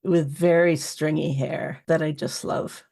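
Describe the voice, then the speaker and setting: Slow, creaky, low-voiced woman podcast host. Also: fairly even intonation, dark tone. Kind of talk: casual interview chat.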